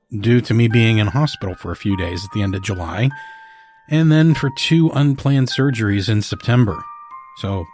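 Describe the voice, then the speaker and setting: A man hosting a podcast, reading a scripted introduction in an earnest, measured way. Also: medium pitch, a little mellow. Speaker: podcast host.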